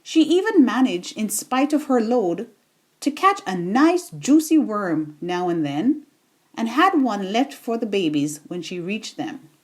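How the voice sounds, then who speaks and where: A woman reading a children's bedtime story in an expressive, deliberate storytelling manner. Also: medium pitch.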